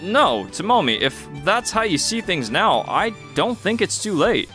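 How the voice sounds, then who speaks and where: A man's voice: high-pitched, sing-song, unhurried, sharp tone, faint music behind, heavily acted. A man playing a kindly, upbeat young entrepreneur in a manga dub, friendly and encouraging. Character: upbeat, kindly young male entrepreneur telling his story. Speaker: narrator and lead character in dub.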